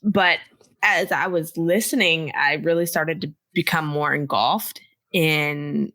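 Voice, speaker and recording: A woman with a notably low yet forceful, bright voice co-hosts a podcast. She chats casually and candidly, recorded dry and close. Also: steady tone, slow, dry recording. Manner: candid, chatty. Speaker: podcast co-host.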